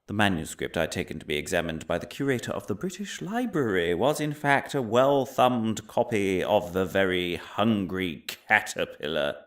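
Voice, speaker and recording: Male actor as unhinged conspiracy-minded academic, middle-aged. Lower register, theatrical, rambling audio-drama monologue that veers between mutter and rant. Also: a bit bright, no echo, clean studio sound. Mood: self-deprecating, confiding. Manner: rambling, wordy, swinging from muttering to ranting.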